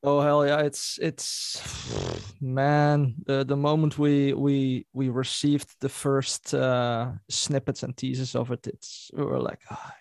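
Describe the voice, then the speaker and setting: A man's voice: medium pitch, little pitch movement, slow, a little mellow, clear, resonant tone, dry recording. A Dutch-speaking man in a band, telling a story in a casual interview and laughing as he goes. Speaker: band member.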